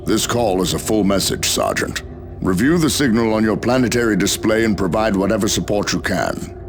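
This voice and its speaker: An actor playing an authoritative space marine captain in a video game, giving a stern, formal, deliberate briefing. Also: male voice, lowish voice, lively intonation, background noise. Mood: grave, commanding. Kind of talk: video game cutscene voice acting.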